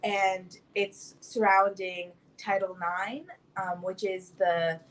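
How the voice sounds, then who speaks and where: A woman's voice: mid-range voice, flat delivery, slow, rough recording. A young woman speaking as an activist guest, informally announcing and explaining in youthful, casual phrasing. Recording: faint background ambient noise.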